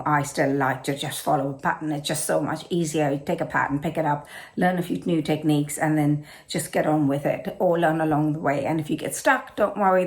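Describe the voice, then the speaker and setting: A British woman with a hoarse, slightly low voice introduces her knitting and travel channel. She rambles casually and keeps correcting herself with plenty of ums and uhs. The sound comes from a simple, non-professional camera setup. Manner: rambling, full of fillers and self-corrections.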